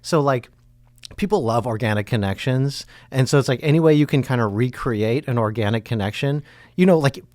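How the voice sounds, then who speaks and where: A man aged forty, a podcast guest talking in a relaxed, agreeable way. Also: mid-range voice, fairly quick, dry recording. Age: 40s. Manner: laid-back, agreeable, says "hundred percent". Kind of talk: casual chat.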